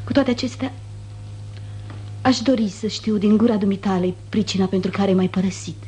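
A woman's voice: mid-range voice, dark tone, lightly acted. An actress playing a proud, wounded noblewoman, formal, declamatory and reproachful, in 1950s radio theatre. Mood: pained, demanding.

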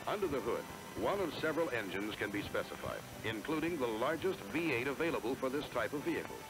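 American man, animated intonation, polished sales narration, emphatic delivery, vintage 1970s film sound, music behind. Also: medium pitch, fast, low-quality recording.

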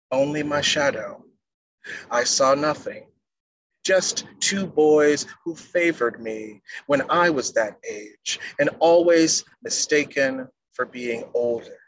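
A middle-aged man giving a measured poetry reading as a poet on a video call. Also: mid-range voice, fairly bright tone. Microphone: wired earphone mic on a video call. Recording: noisy video-call audio.

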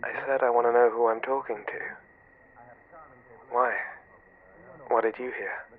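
A man playing an obsessed serial killer in a horror ASMR roleplay, coaxing and menacing in a soft, often whispered voice with false friendliness. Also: medium pitch, flat delivery, measured pace, phone-quality sound. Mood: coaxing, falsely friendly. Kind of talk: ASMR horror roleplay, 90s slasher pastiche.

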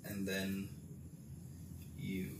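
A young man giving a casual how-to demonstration, explaining step by step in a halting way as he works with his hands. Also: sits low, completely flat delivery, gravelly, background noise. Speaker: tutorial presenter. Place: small bedroom, indoors. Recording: roomy echo, distant mic, music behind. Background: background music.

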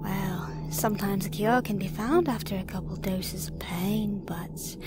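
A woman's voice: mid-range voice, measured pace, loud music behind the voice, close to an everyday voice. A woman voicing a malicious female ghost who has possessed a young woman, taunting with cruel laughter. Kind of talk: audio drama acting.

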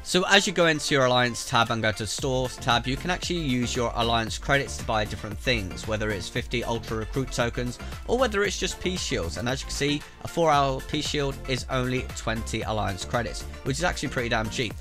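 A man's voice: mid-range voice, a little fast, hardly any pauses, over music. A man with a cold, bunged up, casually narrating a game tutorial over gameplay and giving chatty, direct advice to viewers. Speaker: gaming YouTuber. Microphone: voice-over over gameplay capture.

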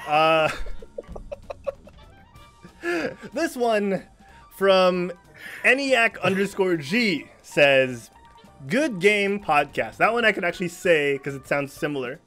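A man's voice: sits high, animated, takes it slowly, long pauses, a bit bright, faint music behind. A man hosting a casual gaming podcast over a video call, reading out listener reviews with a playful, announcer-like flair. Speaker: podcast host.

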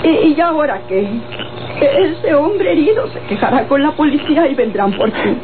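A woman's voice: high-pitched, expressive, normal speed. An actress playing a weary, anxious old Mexican grandmother in a radio soap, with a plaintive, dramatic delivery. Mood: reproachful. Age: older. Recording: old tinny, noisy radio recording.

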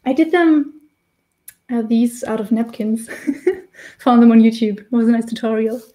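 Woman, German accent, 30s, chatty tutor, mellow voice, fairly high pitch. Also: normal speed. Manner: chatty, laughs often, restarts while painting. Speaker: face painting tutor. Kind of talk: casual live-stream tutorial. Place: small indoor room with decorated wall.

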